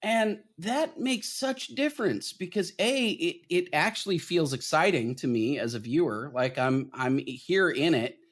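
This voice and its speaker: A man with a slightly high voice talks through films as a podcast host. He explains his points casually but with animated emphasis, in a conversational flow. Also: a little slow, few pauses. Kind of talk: casual film discussion.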